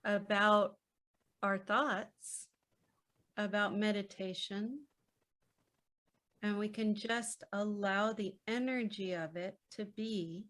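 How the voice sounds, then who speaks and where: A woman speaking as a podcast guest in a reflective conversation, gentle, unhurried and reassuring. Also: medium pitch, steady tone. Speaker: podcast guest, children's book author.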